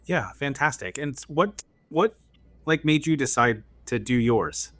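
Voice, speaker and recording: A man's voice: medium pitch, expressive, a little slow, a bit bright, dry recording. Enthusiastic male podcast host. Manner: enthusiastic, announcer-like in the intro. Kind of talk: upbeat scripted intro and interview questions.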